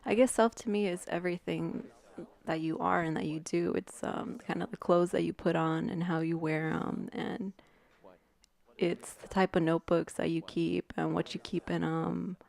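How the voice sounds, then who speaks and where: A woman musing casually, pausing to think as she goes. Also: fairly low pitch, fairly even intonation, gravelly, a little mellow. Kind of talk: casual reflective talk.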